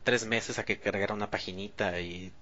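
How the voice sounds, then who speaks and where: Emphatic man, bright and forward, on a muffled gaming panel. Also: lowish voice, fairly even intonation, fairly quick, a little hiss or hum. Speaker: podcast panelist. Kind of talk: casual gaming chat.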